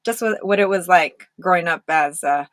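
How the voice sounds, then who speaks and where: A woman's voice: on the low side, moderate pace, a bit bright, no room sound. A woman hosting a podcast, asking questions in a supportive, conversational way. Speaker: podcast host. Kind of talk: interviewing.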